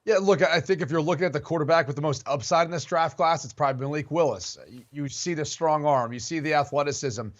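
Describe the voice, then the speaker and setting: A man giving a measured, methodical assessment of a quarterback prospect on a sports show. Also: on the high side, highs cut off. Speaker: football analyst. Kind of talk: studio sports analysis.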